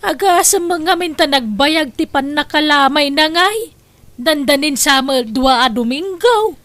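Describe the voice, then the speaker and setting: A woman voicing a tired, guarded pregnant wife in an audio drama, speaking in a measured way. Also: high voice, expressive, fairly bright tone, no room sound. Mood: chatty. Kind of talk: Ilocano audio drama acting.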